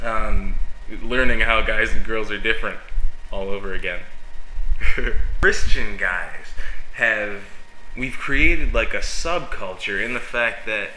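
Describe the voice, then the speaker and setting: A man's voice: on the low side, slow pace, long pauses, sharp tone, slight background noise. A young man, a youth pastor, rambling casually in a vlog while stuffy and sniffling from a cold, with lots of fillers and self-mocking laughs. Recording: echoey room.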